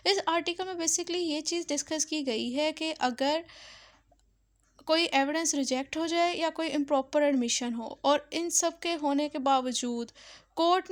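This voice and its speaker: A woman with a Pakistani accent giving an explanatory law lecture, reading legal text aloud and explaining it in an instructional way. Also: high-pitched.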